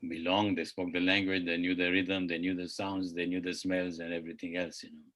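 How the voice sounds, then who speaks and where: A man with a fairly low voice speaking as a panelist over a video call. He talks in a reflective, hedging way and often lets his sentences trail off. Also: level tone, brisk, a bit bright. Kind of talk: online panel discussion.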